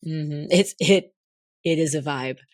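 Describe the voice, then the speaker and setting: Hoarse young woman co-host, low voice, dry close sound, chatty and rambling. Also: takes it slowly, a little mellow, no echo. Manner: chatty, laughs often, rambling restarts. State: hoarse, recovering lost voice. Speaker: podcast co-host. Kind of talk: casual chat.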